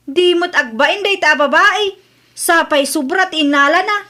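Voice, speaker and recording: A very high, sharp-voiced Ilocano mother played by an actress in a comedy audio drama. She is brash and stubborn, dismissive and quick to argue. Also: full character voice. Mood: outraged.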